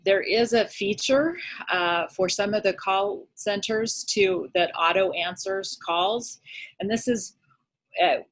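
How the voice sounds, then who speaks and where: A woman agency director giving measured, somewhat hesitant official explanations in an interview. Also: medium pitch, poor audio. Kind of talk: interview answers.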